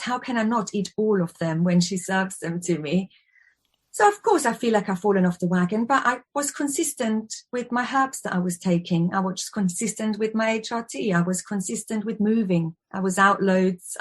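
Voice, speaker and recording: Chatty woman in her forties. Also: medium pitch. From Austria. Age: 40s. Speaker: guest, wellbeing expert and yoga teacher. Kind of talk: conversational interview.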